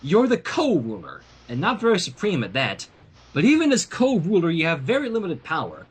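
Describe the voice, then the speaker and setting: A sensible young pony princess, read by a man, in a high and slightly husky voice. The tone is patient, reasoning and mildly exasperated, as in a storybook. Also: huge pitch swings, brisk, heavily acted. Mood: dry.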